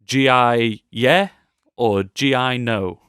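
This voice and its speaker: A man's voice: mid-range voice, takes it slowly, fairly bright tone, clear, resonant tone, dry recording, studio quality. A British man co-hosting a podcast, chatting casually about film news with playful, joking asides. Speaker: podcast co-host.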